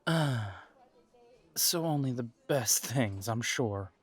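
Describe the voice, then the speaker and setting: Wry, protective male werewolf alpha, acted by a man, mid-pitched, unhurried, dry sound. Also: expressive, breathy edge, no echo. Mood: dry, sarcastic. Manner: dry, sarcastic, hesitant when weary. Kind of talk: audio drama acting.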